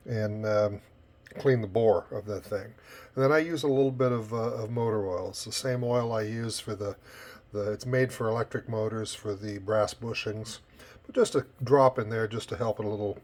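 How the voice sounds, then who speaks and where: A man's voice: fairly low pitch, darkish tone. A plain-spoken man presenting an informal hands-on repair demonstration as a technician, recorded on a cell phone at a workbench. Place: indoors at a repair bench. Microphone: cell phone camera. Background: music playing from tape machine.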